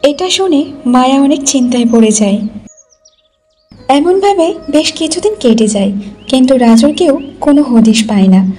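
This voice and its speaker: A woman's voice: sits high, expressive, moderate pace, warm tone, slightly rough, soft background music. A woman narrating a scripted cartoon folk tale.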